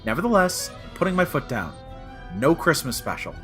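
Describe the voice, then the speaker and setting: A man's voice: on the high side, very animated, moderate pace, a bit bright, faint music behind. A man playing a weary, reluctant but stubborn adventurer in an audio comedy, often hesitant and evasive. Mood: weary, exasperated.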